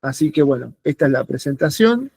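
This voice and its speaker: A man's voice: fairly high pitch, very animated, fairly quick, vocal fry, a little mellow, husky. A man hosting an online talk series, introducing a guest with hesitant speech and many fillers.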